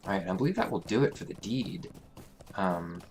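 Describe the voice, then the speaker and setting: Hoarse, congested man narrating gameplay. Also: low-pitched, a little hiss or hum. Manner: relaxed, halting, thinking aloud with many fillers. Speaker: let's play host. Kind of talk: casual gameplay commentary.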